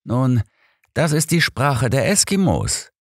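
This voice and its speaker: Cranky, pedantic old male detective , acted by a male reader, raised pitch, audiobook. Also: very animated, slow, slightly rough, no room sound, studio quality, heavily acted. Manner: grumbling, lecturing.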